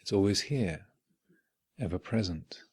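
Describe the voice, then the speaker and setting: A man leading a guided meditation as a teacher, speaking in measured, contemplative short phrases with long reflective pauses. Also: low-pitched, lively intonation, a little mellow, husky. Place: meditation retreat hall.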